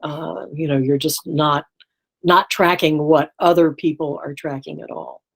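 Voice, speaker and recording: A woman psychology professor calmly explaining ideas as an interview guest, speaking in a measured way. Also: sits low, gravelly, husky.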